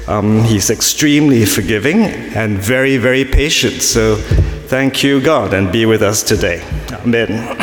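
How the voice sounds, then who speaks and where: A man from Singapore giving a sermon at a family church service, chatty and self-mocking, with frequent ums and asides. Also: fairly low pitch. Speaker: preacher. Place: large church. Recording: echoey church with long reverb.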